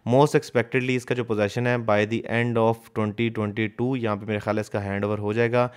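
A man's voice: fairly low pitch, flat delivery, moderate pace, clean tone. A man narrating a voice-over of drone footage of a construction site, explaining a map and the building work step by step and mixing in English terms. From Pakistan. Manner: explanatory, walks viewer through map and footage; mixes English terms into his speech. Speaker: real estate consultant.

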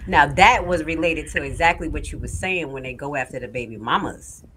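A woman's voice: low-pitched, brisk, fairly bright tone. Female host, new jersey accent, easygoing storyteller on a phone call, faint hiss. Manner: laid-back, storytelling, laughs often. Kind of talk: casual phone conversation.

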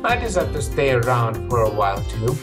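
A man's voice: medium pitch, very animated, brisk. A young man reading visual novel narration and dialogue aloud expressively, stumbling now and then, with game music behind. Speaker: let's play streamer and fan dubber.

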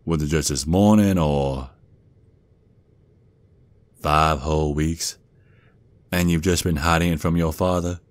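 An extremely deep, close and dry voice: a protective Southern werewolf father acted by a man in an ASMR roleplay. He has a folksy drawl and the gruff bearing of an army sergeant who dotes on his child. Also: steady tone, no echo. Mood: alarmed, indignant. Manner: doting, folksy, slow drawl with pet names.

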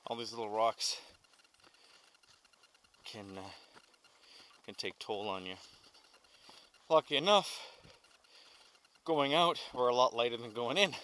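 A middle-aged man narrating a canoe trip vlog outdoors, talking in a relaxed, rambling way with many fillers, recorded on an action camera. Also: mid-range voice, slow pace, long pauses. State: walking while carrying a pack. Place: outdoors on a windy lakeshore and forest trail. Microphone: action camera held or worn. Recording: wind noise on action camera.

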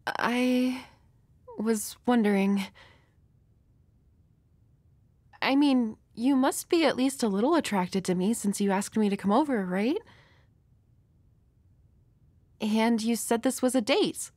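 Woman acting a shy, high-voiced girl next door. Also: moderate pace, a little mellow, no echo, natural voice. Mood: embarrassed, hesitant. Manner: nervous, hesitant, stammering, giggly. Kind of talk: intimate romantic audio roleplay.

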